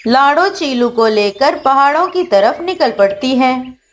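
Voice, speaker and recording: Slow, high-voiced female storyteller. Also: animated. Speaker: narrator. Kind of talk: scripted children's story narration.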